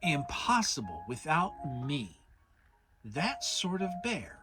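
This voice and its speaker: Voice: medium pitch, wildly swooping pitch, takes it slowly, music in the background, voice pushed down. A narrator voicing a simple-hearted, humble male toy bear, bumbling and plaintive, in a children's storybook. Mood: proud.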